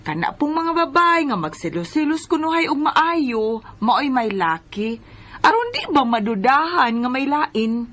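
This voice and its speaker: A woman's voice: high-pitched, big pitch swings, unhurried, fairly bright tone, full character voice. An actress playing a gossipy, suspicious friend with a Bisaya Philippine accent, insinuating as she talks, in a radio drama. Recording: background noise and ambience.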